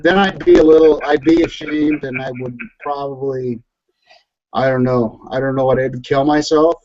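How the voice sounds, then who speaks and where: A man's voice: mid-range voice, steady tone, normal speed, darkish tone. A man making crude, boisterous jokes in a group video chat. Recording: dull lo-fi call audio.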